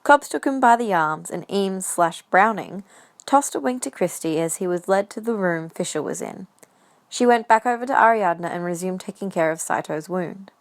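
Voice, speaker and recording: A woman's voice: fairly low pitch, animated, normal speed. A woman reading scripted story narration for an audio drama as the narrator.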